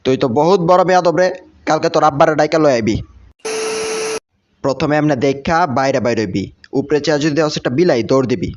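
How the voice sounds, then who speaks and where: A man's voice: a little high, fast talker, long pauses, lightly acted. A man with a Bangladeshi accent voicing a harried, scolding cartoon mouse teacher in colloquial dialect. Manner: scolding, blunt, exasperated. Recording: muffled lo-fi audio with clear noise.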